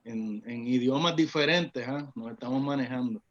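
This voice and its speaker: A man's voice: medium pitch, normal speed. Laid-back male panel moderator, dull audio. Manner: loose, folksy, many fillers.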